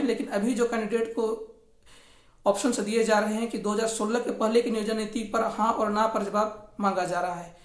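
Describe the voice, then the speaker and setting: Woman news commentator, flat delivery, echoey room. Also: a little high. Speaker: news channel host. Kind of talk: informal explanatory news commentary. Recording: echoey, roomy sound.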